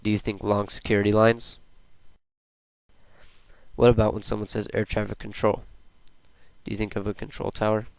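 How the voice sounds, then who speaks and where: Even-toned young man reading a presentation. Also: lowish voice, normal speed, gravelly, long pauses, no room sound, low-quality recording. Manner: plain, read-aloud delivery. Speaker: student presenter. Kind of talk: scripted narration of a school presentation.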